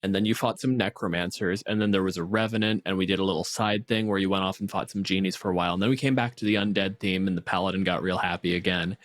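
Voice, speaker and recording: A man's voice: sits low, steady tone, fast talker, few pauses. Man in casual, sweary geek discussion. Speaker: podcast co-host. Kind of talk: casual tabletop game discussion.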